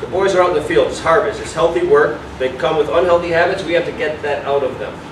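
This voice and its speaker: A man playing a defensive, officious director of a boys' institution in a staged play reading, emphatic and halting. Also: fairly high pitch, lightly acted. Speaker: stage actor. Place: small indoor venue with audience. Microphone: far camera. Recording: echoey room, distant mic, faint noise.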